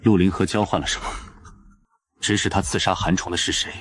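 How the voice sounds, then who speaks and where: An actor playing a coolly probing, blunt young man with a sly streak in a scripted animated drama. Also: deep voice, brisk, a little hiss or hum. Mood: cold, threatening. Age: young adult. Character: cool, sly young male actor, secretly a centuries-old immortal.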